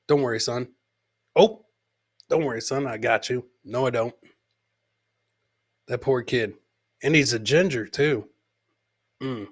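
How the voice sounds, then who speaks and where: Bright-voiced man hosting a comedy podcast. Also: medium pitch, dry recording, studio quality. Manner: repeats his phrases for comic effect. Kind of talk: casual live commentary on funny video clips.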